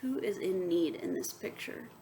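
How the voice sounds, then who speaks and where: A woman teacher reading a picture book aloud to young students, expressive, moving between emphatic reading and soft, wondering asides. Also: fairly low pitch, moderate pace, a little hiss or hum, rough recording. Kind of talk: children's read-aloud with asides and questions to students.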